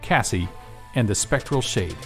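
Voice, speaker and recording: A man's voice: medium pitch, expressive, a little slow. A man announcing a scripted audio drama promo. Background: faint music.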